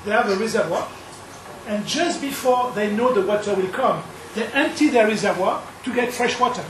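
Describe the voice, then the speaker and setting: European male panelist in his fifties, animated and high-voiced, recorded from a distance in a roomy hall. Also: normal speed, background noise. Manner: animated, explaining with points in order. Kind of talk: panel discussion answer. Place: conference hall panel table. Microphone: table mic, close camera.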